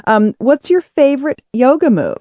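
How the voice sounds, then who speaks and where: A woman's voice: high-pitched, sing-song, normal speed, no echo, dull audio. A middle-aged woman hosting a casual interview, chatty and playful. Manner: chatty, playful, laughs easily. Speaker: interview host.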